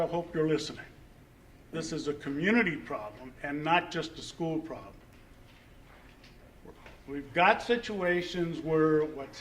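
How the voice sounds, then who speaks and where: Emphatic, high-pitched man at a public meeting. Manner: emphatic, colloquial, repeats phrases, asks rhetorical questions. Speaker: resident speaking at public comment. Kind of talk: impassioned public comment at a school board meeting. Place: indoor public meeting room. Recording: noisy meeting room recording.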